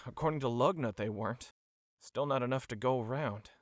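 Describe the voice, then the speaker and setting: A man playing a caring, troubled pony worker in an audio drama, halting and heavy-hearted. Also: mid-range voice, no room sound, studio quality, close to an everyday voice. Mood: troubled, concerned.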